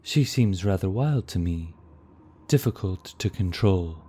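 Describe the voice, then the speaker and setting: A man's voice: low-pitched, lively intonation, a little slow, warm tone, breathy edge, heavily acted. A man voicing a stern, loyal male warden who speaks in a formal, clipped, confident way.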